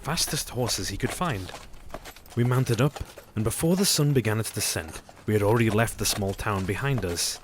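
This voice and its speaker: A man's voice: on the low side, fairly bright tone, slight background noise. A man playing a grim, determined hunter. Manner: grim, resolute storyteller. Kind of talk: audio drama acting.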